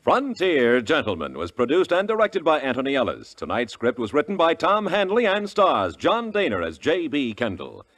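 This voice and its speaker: A man's voice: medium pitch, wildly swooping pitch, moderate pace, few pauses, a bit bright. An American man reading closing credits in 1950s radio announcer style. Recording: old radio recording over music.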